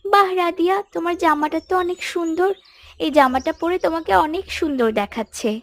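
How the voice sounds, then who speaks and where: A woman's voice: very high-pitched, brisk, a little hiss or hum, pitched above the performer's own voice. A woman voicing a sulky, resentful little schoolgirl in a cartoon, in a pouty manner. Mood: admiring. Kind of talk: cartoon dialogue.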